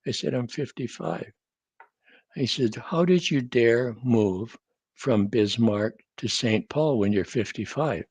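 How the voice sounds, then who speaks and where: A man in his seventies from North Dakota, telling stories as a guest in a casual conversation, measured with a dry humor and frequent restarts. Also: mid-range voice, animated, a little mellow, husky, no echo. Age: 70s.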